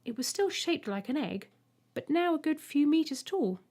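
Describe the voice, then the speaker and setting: Woman reading an audiobook story, highish voice, expressive storyteller. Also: moderate pace. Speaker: audiobook narrator. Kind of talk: scripted story narration.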